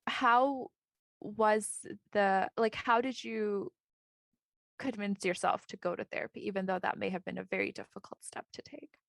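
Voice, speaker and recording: A woman hosting a podcast who asks gentle, affirming questions. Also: medium pitch, fairly even intonation, moderate pace, gravelly, dry recording, studio quality. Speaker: podcast host. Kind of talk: interview questions.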